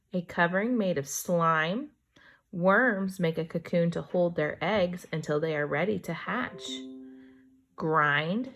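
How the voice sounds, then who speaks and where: A woman reads aloud to kindergartners at a very unhurried pace, her voice on the low side with a gravelly creak. She reads each sentence deliberately, then adds chatty, wondering comments as a teacher would. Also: fairly bright tone. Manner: slow deliberate reading, chatty asides with wondering exclamations.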